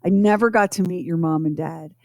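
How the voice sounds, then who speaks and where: A woman hosting a casual talk, bantering and asking questions with wry, deliberate emphasis. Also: lowish voice, a little mellow, dry recording, clean studio sound. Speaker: show host.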